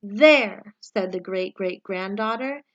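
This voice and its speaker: A woman reading a children's picture book aloud, with expressive, deliberate storytelling phrasing. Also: medium pitch, lo-fi sound. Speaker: story reader, read-aloud for young children.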